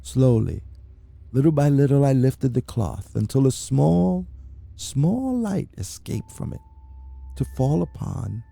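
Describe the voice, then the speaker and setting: Gravelly, dark-voiced man reading horror. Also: mid-range voice, animated, slow, no room sound. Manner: intense, brooding delivery with sudden hushed drops and rising outbursts. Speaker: reader. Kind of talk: dramatic reading of a classic horror story.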